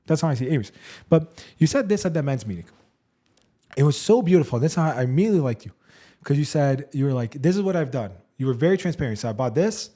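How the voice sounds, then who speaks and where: A man's voice: fairly high pitch, expressive, fast talker, mellow. A man hosting a casual interview as a realtor, effusive and emphatic, repeating words for stress. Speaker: podcast host, realtor.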